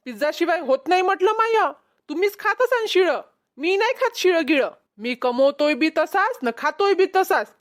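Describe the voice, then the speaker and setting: A woman's voice: very high-pitched, expressive, moderate pace. A woman voicing a boastful, haggling young male street peddler in a cartoon comedy, with a Vidarbha Marathi accent. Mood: indignant, boastful. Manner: cocky, bargaining.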